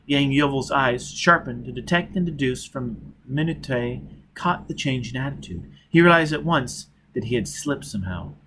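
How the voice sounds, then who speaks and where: A man's voice: mid-range voice, normal speed, poor audio. Man narrating an audiobook, faint noise. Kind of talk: dramatic scripted narration. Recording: steady background noise.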